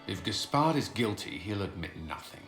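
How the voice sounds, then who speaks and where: An actor playing a serious military commander in a video game, sober and clipped. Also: male voice, lowish voice, sing-song, normal speed, a bit bright. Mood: sober, cautious. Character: serious military commander advising his leader. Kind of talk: video game cutscene dialogue. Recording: roomy echo, faint music behind.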